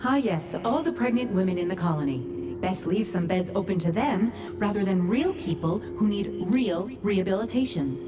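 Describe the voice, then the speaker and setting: An actress plays a frustrated woman colonist in a video game drama. She argues earnestly and pleads against those in charge. Her mid-pitched voice sits a little away from the mic, with clear music behind it. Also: animated, moderate pace, far from the mic, close to an everyday voice. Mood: pointed, sardonic. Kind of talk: video game cutscene acting.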